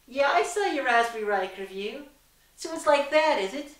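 A woman's voice: high voice, expressive, moderate pace, voice pushed up. A woman voicing a snarky, smug, bluntly flirtatious woman in a dramatised story reading. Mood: knowing. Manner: teasing, brazen, smirking. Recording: roomy, distant from the mic.

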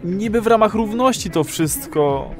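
Casting: timid, evasive everyman for audio drama, high slow voice, music behind him. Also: lively intonation, darkish tone. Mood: embarrassed. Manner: hesitant, evasive, awkward. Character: timid, embarrassed married man seeking a pal's advice.

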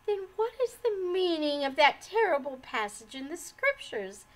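A woman's voice: sits high, big pitch swings, slow, warm tone, pitched above the performer's own voice, full character voice. A woman voicing a heartbroken, despairing wife and mother in a storybook, with a trembling, pleading, sobbing delivery. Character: heartbroken, despairing, unhappily married young mother.

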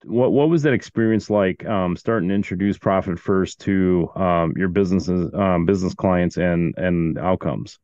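A man's voice: low-pitched, normal speed, hardly any pauses, darkish tone, no echo. A man hosting a podcast, asking loosely phrased, rambling questions in a conversational interview style. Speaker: podcast host. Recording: dull lo-fi audio.

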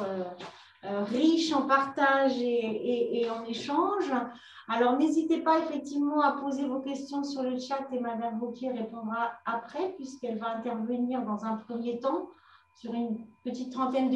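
Distant host woman in an echoey room. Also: a little high. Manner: halting, many fillers. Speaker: event host. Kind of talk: formal welcome speech. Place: meeting room. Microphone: far room camera. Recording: echoey room, distant mic, faint hum.

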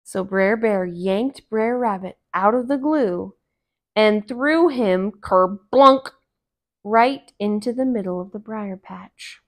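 A woman in her thirties narrating a children's story aloud in an expressive, deliberate way. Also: mid-range voice. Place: small living room, on a couch.

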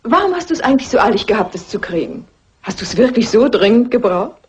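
A woman's voice: on the high side, big pitch swings, fast talker, a little mellow, slight background noise. A woman playing a self-assured, willful young wife in a German film dub, cool, provocative and imperious. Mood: teasing, assured. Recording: dull, muffled vintage film sound.

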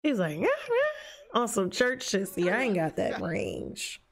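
A young woman livestreaming a life-sim game, chatting casually with her viewers in a lively, joking, profane way on a headset mic. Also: mid-range voice, a little slow, gravelly. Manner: lively, joking, talks back to chat, profane slang. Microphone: headset mic at desk. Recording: game music playing behind.

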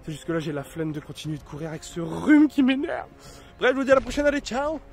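A man's voice: high voice, steady tone, quick pace, gravelly. A man in his thirties with a cold, chatting casually and rambling over his gameplay at home. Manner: rambling, many fillers and restarts. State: has a cold. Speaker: gaming YouTuber. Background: game gunfire and effects.

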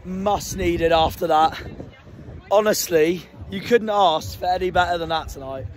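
A young man, a football fan vlogging after a match, hoarse from shouting and repeating himself in excitement, filmed at arm's length. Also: high voice, unhurried, a little hiss or hum. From Plymouth, England. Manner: breathless and elated, repeating himself. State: nearly lost his voice. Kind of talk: excited post-match vlog. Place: outdoors at night, then indoors. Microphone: handheld camera at arm's length.

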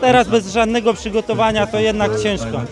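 A man in his thirties, a waiter, interviewed on a handheld mic on a town square, relaxed and easygoing. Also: sits high, fairly quick. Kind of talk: street interview. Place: outdoors on a crowded town square. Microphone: handheld reporter mic. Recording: outdoor street recording with crowd and music.

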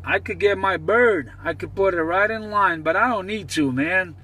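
A man with a very high voice banters on CB radio. He is boastful, chanting and repeating his phrases as he goes. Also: normal speed, sharp tone, breathy edge, rough recording. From New Jersey, United States. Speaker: CB radio operator.

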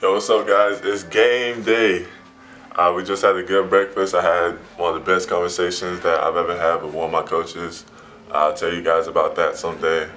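A man's voice: low-pitched, little pitch movement, moderate pace, fairly bright tone. A young man, a college basketball player, talking casually to a phone camera in a hotel room, in an easygoing way with lots of fillers. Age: young adult. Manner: laid-back, filler-heavy talk. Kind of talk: casual vlog narration. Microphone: phone camera at arm's length.

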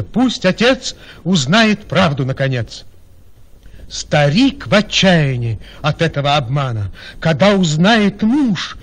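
A man narrating a radio play in theatrical verse with a husky voice. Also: a little high.

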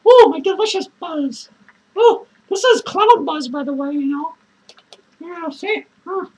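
A woman playing an unhinged, drunk male clown who rambles crudely, cackles manically and lurches between shouts and mutters. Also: very high-pitched, unhurried, slight background noise, rough recording. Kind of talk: improvised character monologue to viewers.

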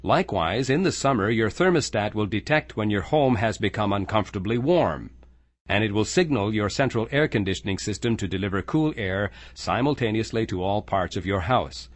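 A man with a fairly low voice reads scripted training narration in a measured, explanatory manner. The close studio mic leaves his voice dry, with no room sound. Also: expressive, no echo. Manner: measured, clear explanatory delivery.

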